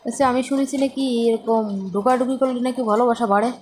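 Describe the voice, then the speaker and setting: A woman's voice: a little high, steady tone, fast, darkish tone. A woman with a Bangladeshi accent in a casual phone chat, often questioning the other caller. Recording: faint noise, clicks, phone call.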